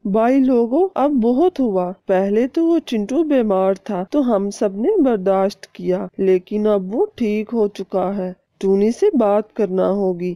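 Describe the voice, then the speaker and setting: Resentful cartoon crow, acted by a woman, insistent, scheming, unhurried, dark tone. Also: mid-range voice, dry recording, muffled recording, close to an everyday voice. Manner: insistent, persuading. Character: scheming, resentful male crow stirring up trouble.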